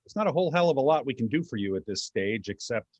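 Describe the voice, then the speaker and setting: Guy acting husky wry voice in someones head, stage play, flippant, really up and down, close dry sound. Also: medium pitch, animated, quick pace, darkish tone, no room sound, natural voice. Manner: dry, sardonic. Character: wry, flippant male voice inside a collector's head. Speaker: actor in a staged play reading. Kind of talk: theatrical staged reading, comic dialogue.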